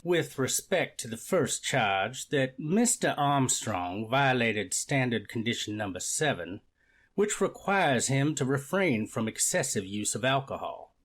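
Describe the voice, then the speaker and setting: A man's voice: medium pitch, fairly bright tone. A man playing a formal prosecutor, reciting evidence dryly in a courtroom reenactment. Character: formal, methodical male government prosecutor. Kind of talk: readers theater courtroom reenactment.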